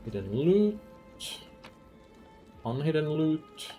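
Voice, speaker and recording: A man's voice: fairly high pitch, very animated, slow, mellow, soft background music. A man streaming a fantasy roleplaying game who thinks aloud in casual commentary, with many ums as he weighs loot and rules.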